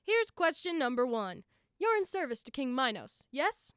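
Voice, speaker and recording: A bossy young god played by a woman hosts with a high, swooping voice in a dry, close recording. The delivery is theatrical and officious, easily tipped into exasperation, in audio drama style. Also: big pitch swings, normal speed, fairly bright tone, clear, resonant tone, no room sound. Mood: brisk host. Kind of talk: amateur audio drama acting.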